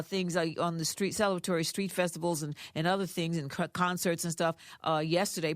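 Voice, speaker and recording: A woman's voice: fairly low pitch, no room sound. Conversational woman newsreader, dry close sound. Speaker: radio newsreader. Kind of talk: conversational news reading with asides. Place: radio studio.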